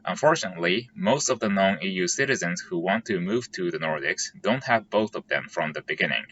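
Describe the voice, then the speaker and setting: A man talks through his points in a careful, orderly way. His voice sits fairly low but has a bright, forward edge. The recording is a bit dull, with a faint hiss behind him. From Japan. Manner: careful, measured and methodical, lays out points in order. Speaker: vlogger. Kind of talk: scripted explainer monologue.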